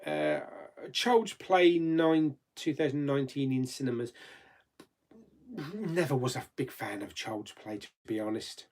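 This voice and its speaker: A man's voice: mid-range voice, a little slow, creaky. A man hosting a casual live chat, rambling and reading out viewer comments, laughing easily and swearing mildly.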